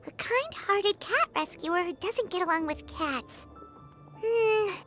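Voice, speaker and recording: A woman voicing a tiny, excitable childlike fairy companion in a game, with a squeaky voice and chirpy, expressive manner. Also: slow pace, full character voice. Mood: puzzled. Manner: chirpy, expressive, speaks of herself in third person. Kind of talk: English game dub voice acting. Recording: loud background music.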